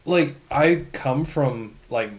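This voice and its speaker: A man's voice: medium pitch, slow pace, rough recording. A man co-hosting a podcast in a laid-back casual chat, laughing often and chiming in with short replies. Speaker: podcast co-host.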